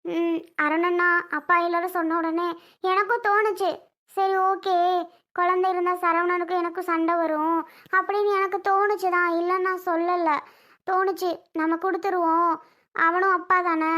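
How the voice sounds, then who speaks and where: A woman playing a young mother in a family drama, gently explaining herself, apologetic yet resolute. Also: very high voice, little pitch movement, moderate pace. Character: apologetic but resolute young mother keeping her child.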